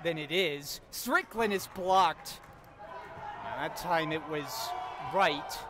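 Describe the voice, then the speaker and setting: A man calling a college basketball game play-by-play, getting louder and excited on big plays. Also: fairly high pitch, slow. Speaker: play-by-play announcer. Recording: crowd and court noise behind the commentary.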